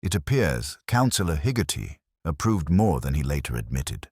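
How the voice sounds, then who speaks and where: A man voicing a shrewd, terse police inspector with a dry, deliberate manner in an audiobook mystery. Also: a bass voice, sing-song, a little mellow, slightly rough, no echo, full character voice. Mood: musing.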